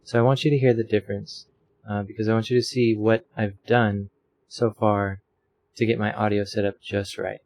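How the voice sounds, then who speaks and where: Casting: man, slightly low voice, home desk mic, rambling tutorial delivery full of ums. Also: flat delivery, normal speed, darkish tone. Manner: rambling, self-deprecating, many fillers. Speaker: streamer explaining audio settings. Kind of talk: casual tutorial. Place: home room with a fan running. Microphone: desk microphone.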